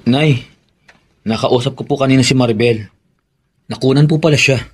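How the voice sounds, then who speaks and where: A Filipino actor playing a respectful, earnest adult son, polite and hesitant, in a radio drama. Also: male voice, medium pitch, normal speed, faint music behind, close to an everyday voice. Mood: concerned.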